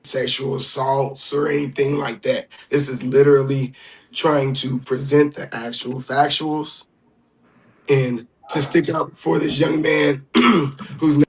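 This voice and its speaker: A man speaking as a livestream guest, explaining carefully and haltingly, often clearing his throat. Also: medium pitch, little pitch movement, darkish tone, low-quality recording.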